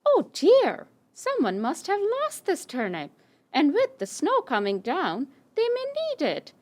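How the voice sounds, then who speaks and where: Lady doing friendly kind animal for a kids puppet show, super high and really swoopy, expressive. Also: a little slow, heavily acted. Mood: surprised, caring. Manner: expressive, singsong, exclaiming. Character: cheerful, generous female dog and kindly fox. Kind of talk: children's puppet show acting.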